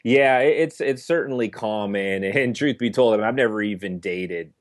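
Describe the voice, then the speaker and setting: Brief: very low-voiced young guy from Northern California, interview guest, rambling and halting. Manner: halting, full of "you know" fillers and restarts. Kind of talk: casual interview conversation.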